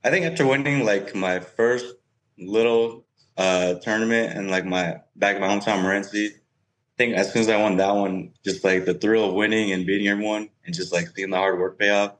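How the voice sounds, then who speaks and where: A man's voice: fairly low pitch, fairly even intonation, moderate pace, fairly bright tone, poor audio. A young man speaking casually as an interview guest and pro player. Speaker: guest, professional cornhole player.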